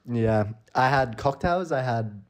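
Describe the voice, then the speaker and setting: Young male co-host, mid-pitched, playful and laughing, casual cussing banter. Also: lively intonation, slow pace. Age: young adult. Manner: laughing, playful, tells stories and swears. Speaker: podcast co-host. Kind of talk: casual chat between friends.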